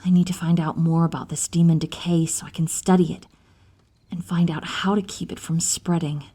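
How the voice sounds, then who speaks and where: A woman acting an inquisitive, determined divine healer and wife in an ASMR audio roleplay, soft and thoughtful, at times whispery. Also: fairly low pitch, level tone, moderate pace, breathy edge.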